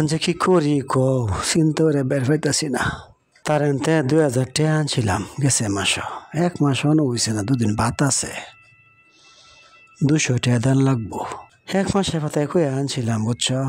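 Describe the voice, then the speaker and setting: A man's voice: mid-range voice, darkish tone, natural voice. A man voicing a poor, worried village labourer in a cartoon, with a rural Bangladeshi accent and a plaintive, muttering manner. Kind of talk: colloquial cartoon dialogue.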